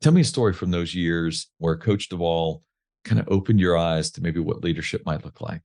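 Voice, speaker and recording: A man's voice: sits low, moderate pace, creaky, a little mellow. A male podcast host asking questions and affirming his guest's points. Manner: affirming, short responses.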